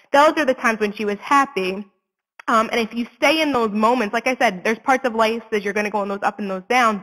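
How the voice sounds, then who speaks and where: A woman's voice: fairly high pitch, fairly bright tone, long reverb tail, poor audio. A woman in her twenties speaking earnestly and conversationally as an interview guest, in candid, informal talk. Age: 20s. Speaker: interview guest, suicide prevention advocate.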